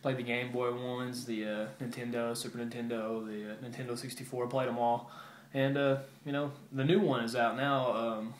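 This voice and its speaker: A man's voice: mid-range voice, little pitch movement, normal speed. Laid-back man vlogging in an echoey room. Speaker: video game collector vlogger. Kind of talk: casual show-and-tell update to viewers. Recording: roomy echo with background hiss.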